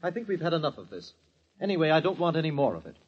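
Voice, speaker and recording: A man's voice: fairly high pitch, big pitch swings, racing, slightly rough. An actor playing a blunt husband who firmly stands up for his wife in a family quarrel, in an old radio play. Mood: indignant. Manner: firm, indignant. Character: blunt, protective husband Peter. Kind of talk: 1940s radio acting. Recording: dull old radio recording.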